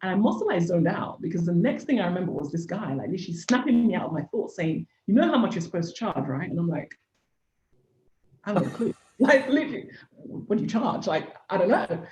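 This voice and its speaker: A woman's voice: lowish voice, very animated, quick pace, darkish tone, a little hiss or hum. A woman in her forties from Nigeria, a business founder, candidly telling her life story as a podcast guest. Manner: earnest, candid storyteller with repeated "literally". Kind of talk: personal story in a podcast interview. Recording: echoey room, dull lo-fi sound.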